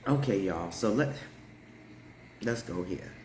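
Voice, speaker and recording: A man hosting a live stream, telling everyday stories in a casual, animated way with frequent laughter. He has a deep, heavy voice. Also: slow pace, reverberant, slight background noise. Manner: animated, laughs often, talks straight to viewers. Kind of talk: casual storytelling chat.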